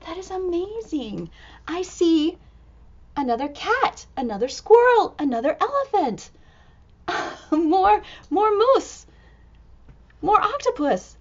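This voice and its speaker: A woman storyteller reading a picture book to young children in an animated, exclamatory way, full of expressive wonder. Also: very high-pitched, takes it slowly, pauses often, dull audio. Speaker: storytime reader and teacher.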